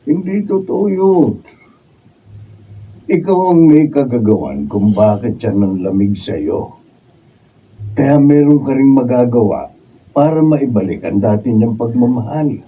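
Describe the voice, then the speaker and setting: A man's voice: medium pitch, big pitch swings, unhurried, pauses often. A man acting a frank, encouraging adviser in a Filipino radio drama, with a Filipino accent. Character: frank, encouraging male adviser to a troubled wife. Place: radio drama recording session. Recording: dull, distant, slightly noisy raw video.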